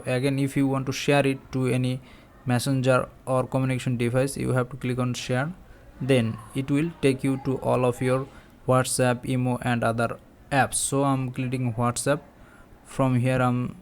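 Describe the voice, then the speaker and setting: A man presenting a step-by-step app tutorial in halting, repetitive phrases. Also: medium pitch, little pitch movement.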